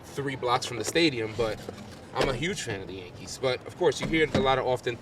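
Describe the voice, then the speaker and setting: Young male host, rapid, eager chatter, off-mic with heavy background noise. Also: medium pitch, expressive, recorded from a distance. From the Bronx. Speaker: interviewer host. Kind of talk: casual livestream interview.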